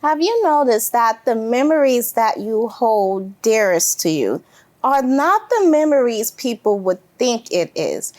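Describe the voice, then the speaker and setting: A woman hosting a casual talk show, upbeat and quick to laugh. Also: on the high side, big pitch swings, a little mellow, a little hiss or hum. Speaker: host. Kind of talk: casual chat.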